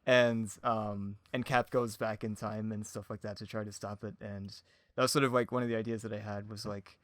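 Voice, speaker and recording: A man's voice: on the low side, flat delivery, brisk, dry recording. Giggly, rambling man chatting, dry sound. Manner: giggly, rambling, self-deprecating. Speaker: podcast guest, audio drama creator. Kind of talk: casual chat.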